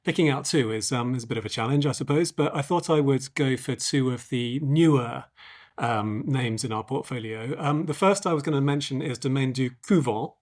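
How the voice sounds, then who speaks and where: A man with a slightly croaky voice talks through wine tastings on a podcast. His delivery is casual and unhurried, sitting at a middle pitch and peppered with ums as he gathers his thoughts. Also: darkish tone. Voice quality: hesitant, frequent ums. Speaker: wine merchant podcast co-host. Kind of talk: casual expert discussion.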